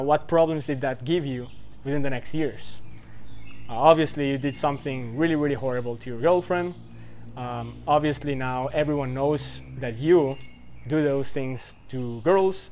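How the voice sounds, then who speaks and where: A man's voice: mid-range voice, slight background noise. Rambling man in his twenties. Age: 20s. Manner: rambling, loose, full of "like" and "right?". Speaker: vlogger giving life advice. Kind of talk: casual talk to camera.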